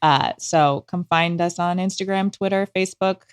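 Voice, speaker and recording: A woman's voice: fairly low pitch, fairly even intonation, moderate pace, clear, resonant tone, no echo, clean studio sound. A woman talking casually as an interview guest, in an eager, conversational way. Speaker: podcast guest, audio drama maker.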